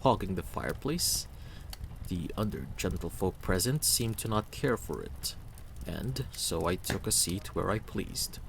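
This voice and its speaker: Aloof fantasy game stranger, played by a man, very low and unhurried, dry, guarded and wry. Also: animated, creaky, background noise, full character voice. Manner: dry, laconic, formal.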